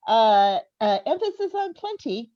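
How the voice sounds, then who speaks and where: A woman's voice: a little high, sing-song, a little slow. A woman in her fifties performing stand-up comedy, talking conversationally to the audience and often chuckling at her own jokes. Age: 50s. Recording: dull, echoey live venue recording.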